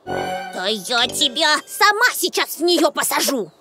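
High-pitched, feisty little backpack girl. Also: huge pitch swings, a little slow, vocal fry, over music, full character voice. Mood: alarmed, indignant. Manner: exclamatory, impulsive, bossy. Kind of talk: animated children's cartoon acting.

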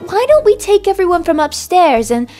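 A woman voicing a coy, flattering young male quarterback in an amateur comedic cartoon redub, with smooth, drawn-out speech. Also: very high-pitched. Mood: sly. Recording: music behind the voice.

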